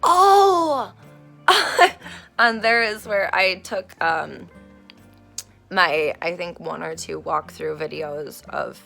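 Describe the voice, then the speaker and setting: Woman, hoarse, low-pitched, gravelly, rambling casual vlog chat, phone recording at home. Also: animated, very slow, sharp tone, faint music behind. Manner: meandering, many fillers and self-corrections, swears casually. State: hoarse, losing her voice. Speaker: vlogger. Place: at home, in a room being turned into an office. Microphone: phone camera recording herself.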